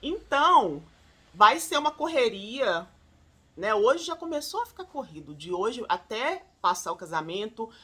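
Woman, 40s, home beauty vlogger, high crisp voice, chatty, talks to camera at close range. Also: measured pace. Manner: animated, chatty, often addresses viewers as "gente". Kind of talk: casual product recommendations to camera. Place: home room, indoors.

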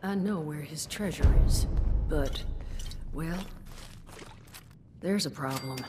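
A woman playing a weary but firm and compassionate hideout boss in a video game, speaking conversationally with hesitant pauses. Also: sits low, loud music behind the voice. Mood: measured, serious. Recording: reverberant room, background noise.

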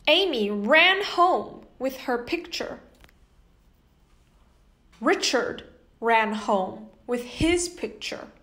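A young woman reading a children's picture book aloud as host, in an animated, carefully enunciated way. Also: sits high. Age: young adult. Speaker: host and children's story reader. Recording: echoey indoor room.

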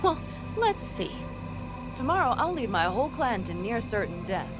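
An actress playing a fierce but warm-hearted young nomad clan leader in a video game, speaking bluntly and with emphasis. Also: female voice, on the high side, very animated, moderate pace, music in the background. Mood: tense, anxious. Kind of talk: video game cutscene dialogue.

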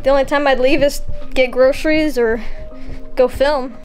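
A woman's voice: sits high, normal speed, faint music behind. Chatty young woman filming on a phone. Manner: chatty, excitable, lots of "like". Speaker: vlogger. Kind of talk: casual exploring vlog. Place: outdoors and inside an abandoned house. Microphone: handheld phone at arm's length.

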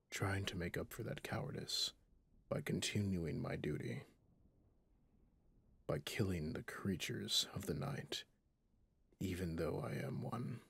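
A man playing a guilt-ridden, stern, centuries-old vampire hunter in an ASMR roleplay, speaking solemnly and haltingly in a husky, breathy half-whisper. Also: sits low, fairly bright tone, no room sound, exaggerated voice.

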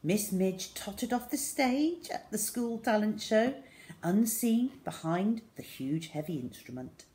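A woman's voice: mid-range voice, expressive, measured pace, warm tone. A middle-aged woman reading a children's story aloud expressively. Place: small room indoors at home.

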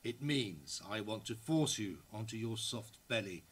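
Male, a teasing, lustful period letter writer, lowish pitch, dramatic reading. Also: lively intonation, unhurried, fairly bright tone. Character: lustful, teasing early-1900s writer addressing his beloved.

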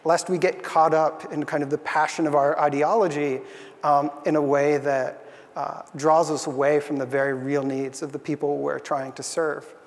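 A man's voice: on the high side, level tone, moderate pace, in a large hall. A young man giving an earnest, thoughtful conference talk on a stage, with frequent fillers. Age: young adult. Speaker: conference speaker. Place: stage in a large hall.

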